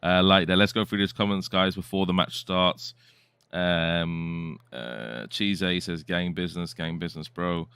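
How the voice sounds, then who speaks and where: Male stream host, very deep bright voice, casual chatty delivery. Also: measured pace, clear, resonant tone, no echo. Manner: chatty, reads viewer comments aloud. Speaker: host and co-commentator. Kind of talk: casual live stream commentary.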